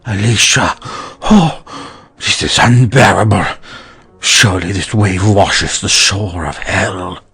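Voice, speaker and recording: A man performs an ardent, pleading lover in a melodramatic verse drama. His voice is husky and mid-pitched, and he draws the words out very slowly. Faint music plays underneath. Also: a bit bright, full character voice. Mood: anguished. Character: lovesick, earnest, pleading male lover. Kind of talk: verse drama audiobook acting.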